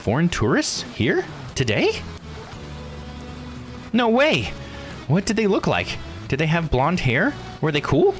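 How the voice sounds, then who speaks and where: A man voicing an excitable, nosy island woman from a visual novel in a gushing, exclamatory manner. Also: on the high side, takes it slowly, long pauses, faint music behind, voice pushed up, full character voice. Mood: excited.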